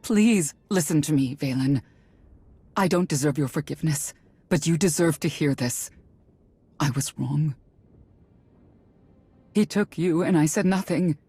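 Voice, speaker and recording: An actress playing a sorrowful middle-aged mother in a video game, earnest and halting, with a husky voice. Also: low-pitched, mellow, dry recording. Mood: remorseful pleading. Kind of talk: video game character acting.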